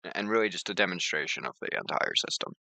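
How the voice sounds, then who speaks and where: A man presenting an informal software tutorial, rambling a little, repeating phrases and thinking aloud. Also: sits low, animated, fast, gravelly, dry recording. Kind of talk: informal screen-share software demonstration.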